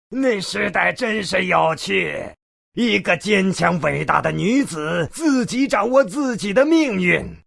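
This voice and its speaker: Game gnome narrator: cynical and bloodthirsty, forceful raspy edge, swooping theatrical delivery, very dry recording. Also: male voice, sits high, no room sound, heavily acted. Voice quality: gravelly, raspy. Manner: theatrical, sarcastic, gleefully morbid. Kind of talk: theatrical fairy tale narration.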